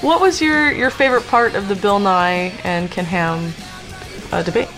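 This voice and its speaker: A woman's voice: medium pitch, normal speed, over music. Playful woman host who laughs easily. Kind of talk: casual chat.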